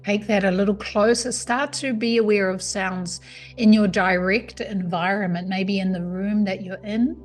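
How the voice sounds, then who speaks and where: Calm lady doing guided meditation, soothing n unhurried, medium pitch, bit of music behind her. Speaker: yoga nidra meditation guide.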